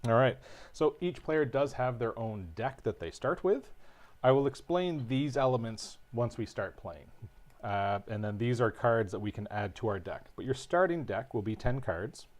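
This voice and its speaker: Relaxed man explaining game rules. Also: mid-range voice, normal speed. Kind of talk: casual livestream rules teaching.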